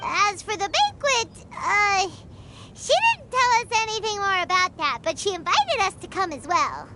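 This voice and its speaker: A woman voicing a cheeky, excitable little fairy companion in a video game. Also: extremely high pitch, a little slow, loud music behind the voice, exaggerated voice. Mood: eager. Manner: excitable, refers to self by name. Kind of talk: scripted video game character dialogue.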